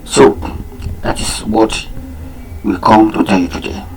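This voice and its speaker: A man whose first language is Yoruba, giving an unscripted talk on a program, pausing often with fillers and repeating his key points. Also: fairly low pitch, flat delivery, slight background noise, rough recording. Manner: halting, many fillers and restarts. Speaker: program presenter.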